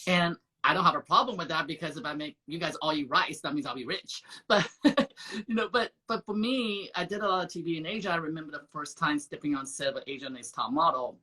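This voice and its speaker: Bright-voiced talk host from Taiwan. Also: male voice, a little high, fairly quick, low-quality recording. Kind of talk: casual interview chat.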